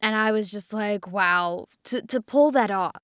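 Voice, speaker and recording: A woman's voice: mid-range voice, unhurried, fairly bright tone, clean tone, no echo, clean studio sound. A woman voice actor chatting casually and earnestly in a cast discussion. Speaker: voice actress on a cast panel. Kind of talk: casual chat.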